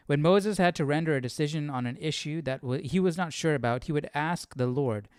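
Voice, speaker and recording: A man's voice: on the high side, a little fast, smooth, no echo, clean studio sound. A man hosting a Bible study livestream, reading aloud and commenting casually, often questioning and checking for agreement.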